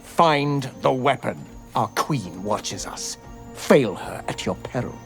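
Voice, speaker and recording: An actor playing a stern, merciless githyanki warrior commander in a video game, speaking in clipped, menacing orders. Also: male voice, medium pitch, lively intonation, takes it slowly, creaky, music in the background. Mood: cold menace. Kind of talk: dramatic video game cutscene acting.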